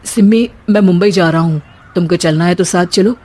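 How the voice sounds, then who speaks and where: A woman's voice: on the low side, steady tone, brisk, background noise, close to an everyday voice. A woman voicing a mild, straightforward young husband. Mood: plain.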